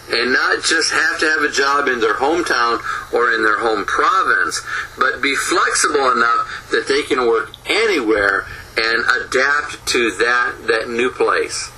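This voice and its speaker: Male lecturer, very bright voice, quick with many restarts, faint hiss behind. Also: medium pitch, poor audio. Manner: talks fast with frequent restarts. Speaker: conference presenter. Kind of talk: recorded lecture. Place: home office.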